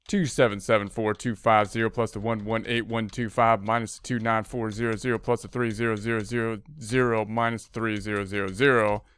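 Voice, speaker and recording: Clear-voiced man teaching a step-by-step tutorial. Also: lowish voice, a bit bright, no echo. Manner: conversational, explanatory, reads figures out digit by digit. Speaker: accounting instructor. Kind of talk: informal screen-recorded tutorial, working through calculations aloud.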